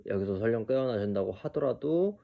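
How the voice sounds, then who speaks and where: A man in his early thirties giving an unscripted personal monologue, speaking haltingly with hesitant pauses. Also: mid-range voice, animated, creaky, highs cut off. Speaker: video creator telling his own story.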